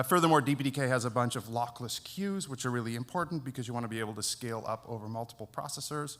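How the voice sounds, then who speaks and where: A Dutch man speaking English with an American-sounding accent, presenting a technical conference talk. His voice sits at an ordinary middle pitch. He explains things in a relaxed, self-deprecating way. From Netherlands. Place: conference hall.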